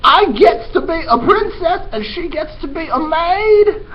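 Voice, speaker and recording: A man voicing a slow-witted, eager young serving girl from a storybook in a shrill, strained, childish falsetto. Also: animated. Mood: excited, scheming. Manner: loud, childish, ungrammatical delivery. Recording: muffled recording with background noise.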